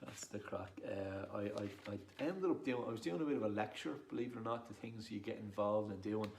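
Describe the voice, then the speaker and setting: A man's voice: on the low side, fairly quick. An Irish man from Dublin with a Dublin accent, chatting casually and self-deprecatingly as a poet introducing himself. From Dublin, Ireland. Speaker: poet and performer. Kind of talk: casual rambling introduction to camera. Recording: roomy echo with clear background noise.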